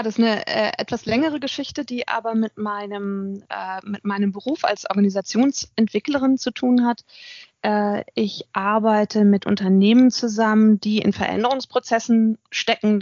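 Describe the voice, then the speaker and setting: A woman's voice: medium pitch, darkish tone, no room sound, lo-fi sound. A middle-aged woman, an author and consultant, giving a thoughtful interview with frequent hesitations. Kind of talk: reflective interview talk.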